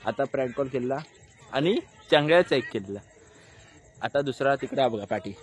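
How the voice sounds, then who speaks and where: A man's voice: medium pitch, expressive, moderate pace. A man with a Maharashtrian Marathi accent, chatting casually as a vlogger to camera and to friends. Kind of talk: casual chat and vlog commentary.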